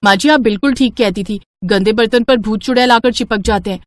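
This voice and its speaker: A woman's voice: fairly high pitch, fairly quick, close to an everyday voice. A woman voicing a naive, obedient young daughter-in-law, earnest and anxious, in a family moral story.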